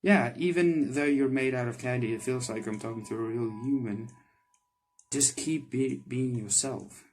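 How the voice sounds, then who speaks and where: A man's voice: medium pitch, moderate pace, darkish tone, slight background noise, lightly acted. A man voicing a flustered, kind-hearted young male magician from a game, hesitant and apologetic, with music behind. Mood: apologetic, encouraging. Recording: background music under the voice.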